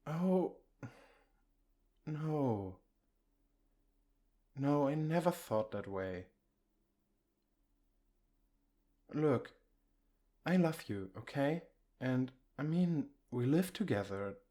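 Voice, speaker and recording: A man playing an affectionate, reassuring boyfriend in an intimate ASMR comfort roleplay, speaking in a gentle, coaxing, conversational way. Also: fairly high pitch, expressive, slow, pauses often. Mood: tender, earnest.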